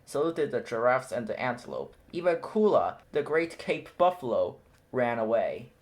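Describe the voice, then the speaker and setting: A man's voice: mid-range voice, normal speed, slight background noise. Man reading a storybook aloud. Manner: steady, clear storytelling. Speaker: storybook reader. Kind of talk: children's read-aloud narration.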